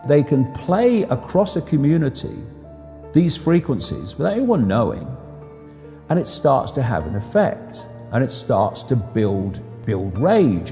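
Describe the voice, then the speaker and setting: A man with a dark, mellow voice talks in a conversational, explanatory way, leaning on repeated words. His pitch moves in lively swings, and a long reverb surrounds him. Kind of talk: explanatory talk. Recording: long reverb, music underneath. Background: music.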